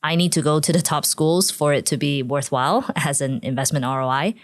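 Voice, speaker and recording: A woman's voice: low-pitched, fairly quick, no echo. A woman from Taiwan, a podcast guest, chatting casually about her student years and often dropping "like" into her sentences. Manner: chatty, frequent "like" fillers, laughs easily.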